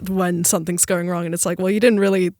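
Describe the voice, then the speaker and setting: A woman's voice: lowish voice, level tone, fast, a little mellow, no echo, studio quality. A woman giving her opinions informally as a panelist in a casual podcast chat. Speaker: podcast panelist discussing films.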